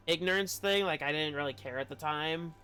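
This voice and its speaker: A man's voice: fairly high pitch, a little fast, bright, forceful tone, clean tone. A man chatting casually while live streaming a game, rambling and hesitant as he thinks aloud. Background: video game dialogue and music.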